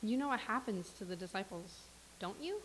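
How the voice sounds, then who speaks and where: A woman in a comedic staged reading playing a dry, knowing figure with a sarcastic deadpan. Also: medium pitch, brisk, natural voice. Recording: hissy, reverberant hall recording.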